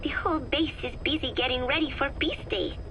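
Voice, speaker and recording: A young female diarist in a video game, recording her entry on cassette. The voice is high, with clear noise all over the recording. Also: a little fast, lo-fi sound. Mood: chatty. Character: young woman keeping an audio diary. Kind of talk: dramatic video game voice acting. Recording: noisy cassette diary recording.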